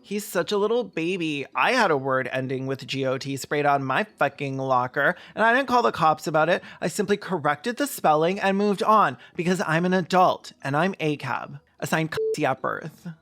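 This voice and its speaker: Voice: mid-range voice, expressive, moderate pace, few pauses, fairly bright tone. Sarcastic, campy video commentator. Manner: mocking, campy, profane. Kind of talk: sarcastic comedic film commentary.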